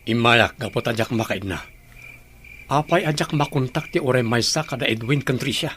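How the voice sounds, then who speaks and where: A man's voice: mid-range voice, normal speed, a bit bright, slight background noise, natural voice. A man playing a frustrated estranged husband and father in a radio drama, confronting and exasperated. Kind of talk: Ilocano radio drama acting.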